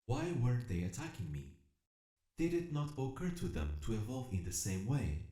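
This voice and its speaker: A man playing an earnest, solemn combat robot who longs for freedom, narrating an audio drama in measured, formal, reflective phrasing. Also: fairly low pitch, very animated, dark tone. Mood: analytical. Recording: echoing voice over music. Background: music.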